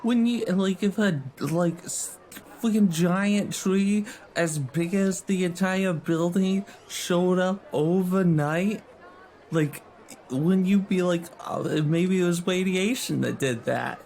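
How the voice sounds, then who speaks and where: A man with a fairly high voice plays a tabletop role-playing game. He talks slowly in casual slang with swearing, improvising as his character. There is some background noise. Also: a little mellow.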